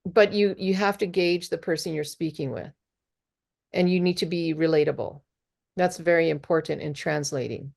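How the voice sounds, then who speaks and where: A woman from Hawaii giving earnest, unhurried coaching advice as a guest trainer on a video call. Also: low voice, creaky, darkish tone.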